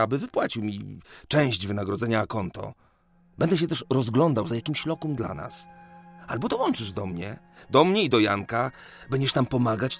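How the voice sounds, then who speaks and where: Ardent young lover, lively pitch. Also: male voice, creaky. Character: ardent young surveyor reassuring his secret sweetheart. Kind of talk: Polish historical radio drama acting.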